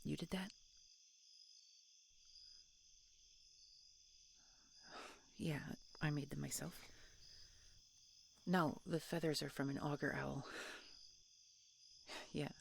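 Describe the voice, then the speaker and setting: A woman plays a tsundere dragon hunter with a gravelly fry in her voice. She is prickly and guarded yet softening, speaking in grudging, halting, often hushed phrases. It is an intimate fantasy audio roleplay, with faint insect ambience behind her. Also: low-pitched, little pitch movement, a little hiss or hum. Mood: awkward, softening.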